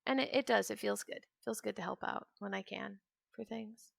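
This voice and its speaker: A woman's voice: medium pitch, fairly even intonation, moderate pace, creaky, dry recording. A woman in her twenties chatting casually and ramblingly as she streams a video game, sometimes trailing off into mutters to herself. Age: 20s. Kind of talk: casual chat while playing a video game.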